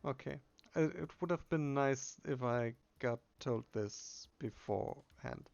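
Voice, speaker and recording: Gravelly man narrating a game playthrough. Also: medium pitch, measured pace, a little mellow, no room sound, muffled recording. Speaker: gamer reading game text aloud. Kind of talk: casual game playthrough with read-aloud dialogue.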